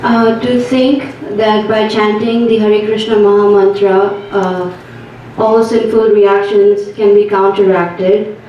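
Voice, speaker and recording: A woman answering a question in class in her own words, hesitant and full of pauses. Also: medium pitch, flat delivery, muffled recording. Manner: hesitant, with many fillers. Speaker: class participant. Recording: echoey hall, distant mic, noisy background.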